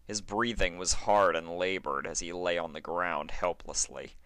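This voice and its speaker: A man's voice: deep voice, fairly even intonation, moderate pace, pushed and bright, clean tone, no echo. A man narrating a fan fiction story in a dramatic, scripted reading style.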